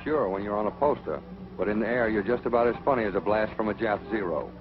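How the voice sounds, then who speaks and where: A man's voice: on the low side, fast talker, music in the background, close to an everyday voice. A man narrating a 1940s training film as a stern military flight instructor, authoritative, scolding and didactic. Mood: exasperated. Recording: muffled noisy 1940s film soundtrack.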